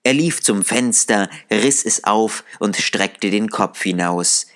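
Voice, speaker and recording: A man narrating a classic story as an audiobook reader, measured and calm. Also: lowish voice. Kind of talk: scripted narration.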